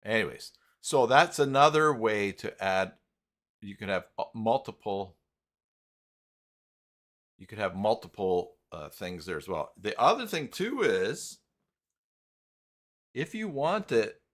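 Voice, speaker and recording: Slow low-voiced guy doing a casual livestream tutorial, kinda mumbling to himself as he clicks around. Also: expressive, fairly bright tone. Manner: halting, thinking aloud, muttering to himself. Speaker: live stream host, software tutorial presenter. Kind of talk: casual unscripted screen-share walkthrough.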